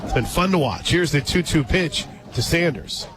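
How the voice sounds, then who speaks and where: High-voiced man calling softball over loud crowd. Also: expressive, normal speed, very noisy. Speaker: radio play-by-play sports announcer. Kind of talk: live softball commentary mixed with casual chat. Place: outdoor ballpark radio booth. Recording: loud crowd and music behind broadcast. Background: loud stadium crowd and music.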